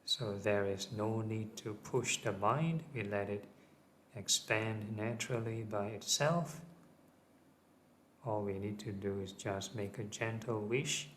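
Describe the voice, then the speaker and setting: A man teaching guided meditation, giving gentle, measured instructions. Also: lowish voice. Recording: clearly audible ambient background noise.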